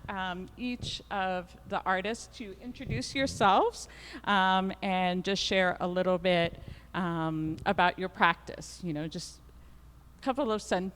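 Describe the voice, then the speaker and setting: Loud-voiced woman moderating in a reverberant gallery. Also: mid-range voice, slight background noise. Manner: loud voice, with many ums and uhs. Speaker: panel moderator. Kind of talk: introducing a panel discussion. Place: art gallery. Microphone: handheld microphone.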